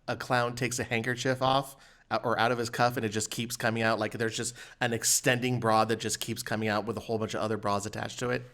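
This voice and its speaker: Mid-pitched man hosting a comedy podcast. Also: little pitch movement, fast, fairly bright tone. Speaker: podcast host. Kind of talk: casual comedic chat.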